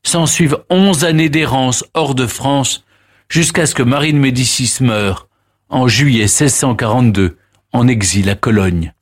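A man hosting a radio history show, narrating past events in a lively, theatrical way with emphatic pauses. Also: mid-range voice, breathy edge. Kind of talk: dramatic historical storytelling.

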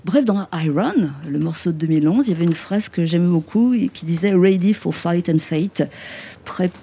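A man hosting a radio interview, chatty and relaxed, with frequent fillers. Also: sits high, normal speed, creaky, breathy edge. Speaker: radio host. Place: outdoors in a city street.

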